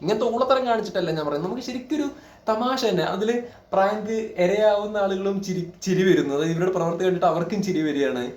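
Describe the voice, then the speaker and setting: A man's voice: sits high, quick pace, a little mellow. A man giving opinionated reaction commentary in an indignant, scolding, emphatic manner full of rhetorical questions. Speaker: reaction video creator. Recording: echoey room.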